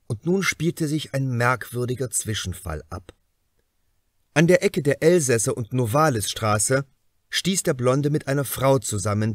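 Expressive male audiobook narrator, lively intonation. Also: medium pitch, no room sound, clean studio sound. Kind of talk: scripted narration of a crime novel.

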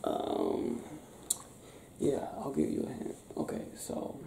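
A young man doing an improvised ASMR boyfriend roleplay, talking softly and affectionately in a breathy, teasing, near-whispered way. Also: very deep voice, huge pitch swings, slow. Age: young adult. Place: small bedroom, lying on a bed indoors. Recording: roomy sound with faint background noise.

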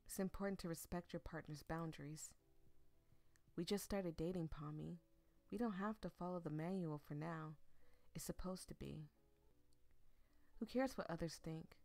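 A woman voicing a tender, teasing young boyfriend in a visual novel, gently and reassuringly. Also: on the low side, moderate pace, no room sound, soft background music, lightly acted. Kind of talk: visual novel read aloud.

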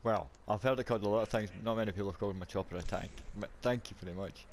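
A man's voice: on the low side, rapid-fire, darkish tone. A man bantering casually over an online game, boisterous, sweary and giggly, on a noisy voice chat. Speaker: video game player.

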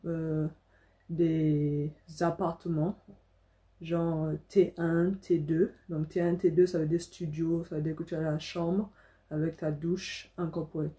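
Mellow-voiced young woman vlogging. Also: deep voice, little pitch movement, takes it slowly. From Africa. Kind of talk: casual personal storytelling to camera. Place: small furnished room indoors.

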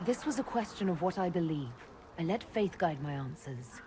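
An actress playing a composed, resolute female leader in a video game, speaking calmly and formally. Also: lowish voice, moderate pace, background noise, close to an everyday voice. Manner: calm, formal. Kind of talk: video game cutscene acting.

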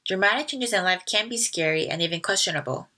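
Bright-voiced young woman speaking to a webcam. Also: mid-range voice, fast talker. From South Korea. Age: 20s. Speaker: student sharing her life motto. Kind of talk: reflective closing statement to camera. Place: small bedroom indoors.

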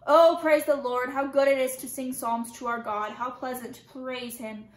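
A woman's voice: a little high, steady tone, unhurried, natural voice. A woman voicing a young man who reads a psalm aloud in a strong, solemn voice, in an echoey room. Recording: roomy echo, faint background noise.

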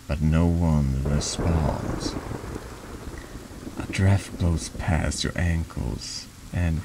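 A man's voice: extremely low pitch, creaky, background noise. A man streaming a horror text game, reading dramatically or chatting casually.

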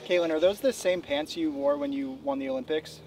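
A man's voice: a little high, a little fast, very noisy. A young man ribbing his friends and opponents during a golf round outdoors. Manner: ribbing, mocking. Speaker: golf channel regular. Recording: distant mic, loud group chatter.

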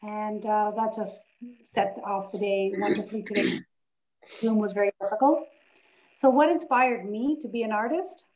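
A woman's voice: mid-range voice, a little mellow. A woman in her sixties, from Greece and raised in Canada, telling her story on a video call from her living room in an earnest, reflective way. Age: 60s. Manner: earnest, reflective, slightly halting. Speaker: guest artist. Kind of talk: personal storytelling on a webinar. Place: home living room, indoors. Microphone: video call, laptop camera. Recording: muffled lo-fi video call audio.

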